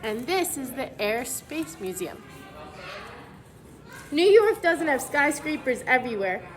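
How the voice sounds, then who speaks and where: A woman reading a picture book aloud to young children, pausing to explain things simply as a preschool teacher would. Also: sits high, big pitch swings, faint music behind.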